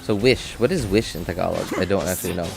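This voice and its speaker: Low-voiced man thinking aloud. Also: vocal fry, music in the background. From Philippines. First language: Cebuano. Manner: halting, thinking aloud with many fillers and restarts. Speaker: game streamer. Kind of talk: casual live-stream chat.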